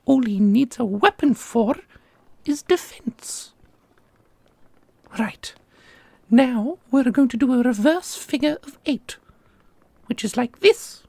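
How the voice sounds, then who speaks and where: A woman playing an ancient custodian and combat mentor in an ASMR roleplay, doting and sharply sarcastic, with a husky, creaky voice. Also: fairly high pitch, slow, pauses often, mellow, no room sound, heavily acted. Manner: theatrical, teasing, instructive. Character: millennia-old custodian mentor, doting on pupil, witheringly sarcastic.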